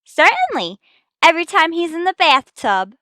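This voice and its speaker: A sassy, brash young woman, voiced by a woman, in old-time radio comedy style. Her high, bright voice swoops widely as she fires back comebacks. The sound is close and dry. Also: wildly swooping pitch, moderate pace, no room sound, exaggerated voice.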